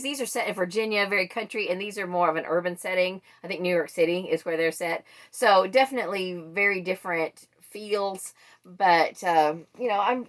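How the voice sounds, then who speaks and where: A woman book vlogger chatting casually and thinking aloud as she goes. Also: mid-range voice. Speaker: book vlogger presenting a monthly reading wrap-up. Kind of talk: casual chat to camera.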